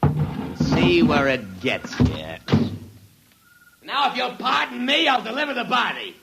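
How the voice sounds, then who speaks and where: An actor playing a surly, sarcastic hearse driver in a 1950s radio drama, gruff, slangy and sneering. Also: male voice, sits high, huge pitch swings, unhurried, loud music behind the voice, full character voice. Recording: noisy vintage radio recording.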